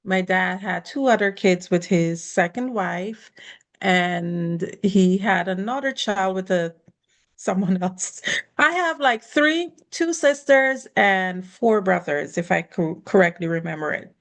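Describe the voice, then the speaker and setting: A woman's voice: medium pitch, animated, takes it slowly. A woman from the Caribbean, a guest on a conversation podcast, telling her life story in an earnest, gracious way with light laughs between thoughts. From Caribbean, born in Guyana, raised in Barbados.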